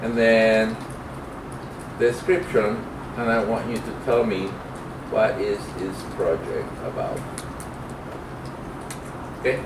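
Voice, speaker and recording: A middle-aged man teaching programming in an informal lecture, speaking haltingly as he thinks aloud while demonstrating. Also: on the low side, fairly even intonation. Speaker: programming instructor. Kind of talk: informal classroom lecture with live coding demo. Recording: roomy, distant mic, clear background noise.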